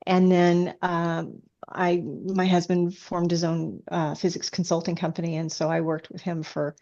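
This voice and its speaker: A woman's voice: fairly low pitch, flat delivery, a little slow, gravelly, darkish tone, highs cut off. A woman chatting as an interview guest, an author explaining things enthusiastically in a casual way. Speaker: guest, science fiction author.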